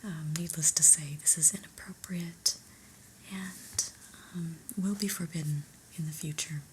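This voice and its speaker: A woman playing an unimpressed, politely corporate new manager in a soft, whispered ASMR roleplay, with a measured, dryly disapproving manner. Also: deep voice, fairly even intonation, slight background noise.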